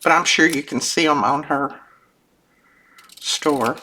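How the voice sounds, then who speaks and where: An older woman with a notably low voice chats in a homey, rambling way as she crafts at her desk, often breaking off to chuckle. Her voice sounds a little thickened from sinus trouble. The recording has clear background noise and small clicks. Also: slow, pushed and bright. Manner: chatty, homey, self-interrupting, chuckles often. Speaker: craft channel host. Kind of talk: casual crafting chat while working.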